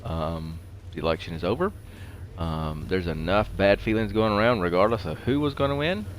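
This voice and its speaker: A man's voice: sits low, moderate pace, slight background noise. A middle-aged man giving casual commentary as he plays a video game, rambling and talking to himself. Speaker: gaming video host.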